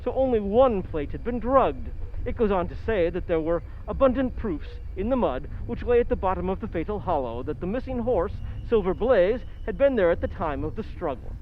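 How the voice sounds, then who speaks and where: A man playing an eager, genteel detective's companion, narrating an old-time radio drama in a formal, expressive storytelling manner. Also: high-pitched, moderate pace. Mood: intrigued. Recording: dull lo-fi sound, faint noise.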